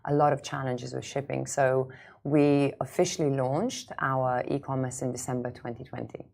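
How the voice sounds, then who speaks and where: A woman's voice: extremely low pitch, little pitch movement, normal speed, creaky, a little mellow. A woman in her thirties from Lithuania, a founder speaking conversationally as an interview guest, with emphatic repetition. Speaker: startup founder, podcast guest.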